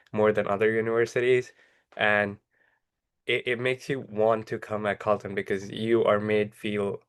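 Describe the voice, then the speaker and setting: A man's voice: fairly low pitch, clean tone. A young man from India, speaking steadily and earnestly as a student on a video-call panel. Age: young adult. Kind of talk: casual webinar answer.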